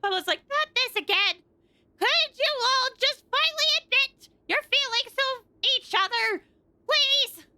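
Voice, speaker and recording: A woman livestreaming a game with casual, excitable, rambling commentary while chatting to viewers, game music behind her. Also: very high-pitched, measured pace. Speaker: game streamer.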